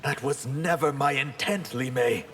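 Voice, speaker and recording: An actor playing a proud fugitive villain with water powers in a video game, speaking in a formal, haughty way. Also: male voice, medium pitch, measured pace, a bit bright, lightly acted. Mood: grave, defensive. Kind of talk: video game dialogue acting. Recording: very noisy game sound backdrop.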